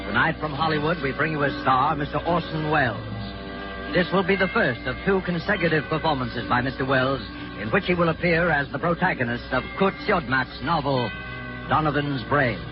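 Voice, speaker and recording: Formal male 1940s radio host, slightly high. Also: brisk. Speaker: program announcer, host. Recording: muffled 1940s radio recording, music behind.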